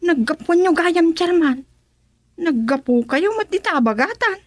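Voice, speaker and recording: A woman's voice: very high-pitched, expressive, a little slow, dry recording. A woman playing a gossipy, slyly suspicious village woman in a comedic radio drama. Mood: curious.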